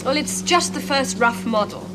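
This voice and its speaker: A woman's voice: high-pitched, lively intonation, normal speed. An actress playing an earnest young female scientist who speaks in a precise, analytical way, in a 1970s television drama. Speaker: computer programmer on a research team. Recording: loud hiss on an old TV soundtrack.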